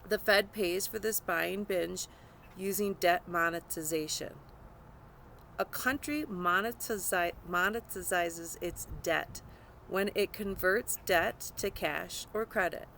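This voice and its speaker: A woman's voice: on the low side, takes it slowly, creaky, fairly bright tone, slight background noise, low-quality recording. A woman narrating a scripted nonfiction audiobook, reading aloud.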